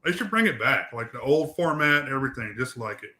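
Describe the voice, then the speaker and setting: A man's voice: medium pitch, animated, fairly quick, bright, forceful tone. A man, a podcast guest speaking bluntly and casually. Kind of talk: casual chat.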